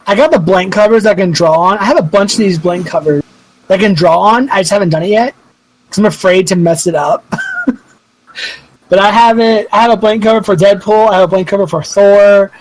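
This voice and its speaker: A man's voice: sits high, brisk, rough recording. A man in his twenties in casual podcast chat, rambling and swearing freely as a co-host. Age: 20s.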